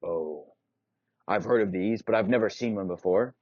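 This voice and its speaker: A gruff, determined blacksmith talks plainly in a slightly lowered voice, as a man plays him, with a husky edge. It is a dull, dry lo-fi recording. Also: normal speed, no echo, close to an everyday voice. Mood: wondering.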